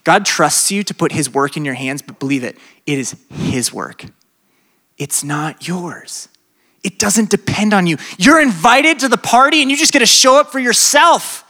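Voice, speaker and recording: A young adult man, a pastor with a slight speech impediment, preaching a lively sermon to students, swinging from loud bursts to hushed stretches. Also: high voice, moderate pace. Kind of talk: sermon to a college crowd.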